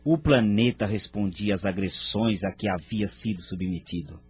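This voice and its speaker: A man playing a noble spirit mentor in an audio drama, narrating in a measured, formal, grave manner. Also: on the low side, slightly rough, soft background music. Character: Inumar, grave noble male spirit mentor recounting history.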